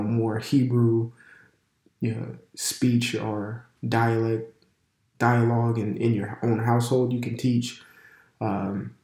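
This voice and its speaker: A man's voice: mid-range voice, warm tone. A man with a stuffy nose from a cold, giving a casual video lesson as a religious language teacher, talking loosely with many fillers and restarts. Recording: echoey room.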